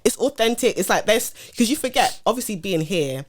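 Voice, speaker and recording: A woman from co-hosting a casual podcast chat, a DJ who talks in a relaxed, chatty and emphatic way. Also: medium pitch, fairly quick. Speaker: podcast co-host and DJ.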